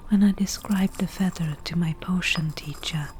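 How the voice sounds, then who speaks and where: A woman playing a chatty, mildly wicked forest witch in an ASMR roleplay, whispering softly and musingly as she talks. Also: lowish voice, fairly even intonation, a little hiss or hum, lightly acted. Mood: wry reminiscing. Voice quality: soft breathy whisper. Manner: unhurried, musing, conversational.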